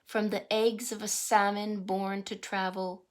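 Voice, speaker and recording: Slow-paced woman reading aloud to children. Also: medium pitch, level tone. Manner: deliberate, explanatory, pausing to question young listeners and define words. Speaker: teacher reading a picture book aloud to children. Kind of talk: read-aloud of a rhyming picture book with teaching asides and questions.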